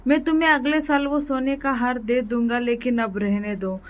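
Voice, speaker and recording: A woman's voice: fairly high pitch, fairly even intonation, moderate pace, natural voice. A woman voicing a meek, henpecked male crow who pleads with his wife and frets about money. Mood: pleading. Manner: pleading, weary, worried.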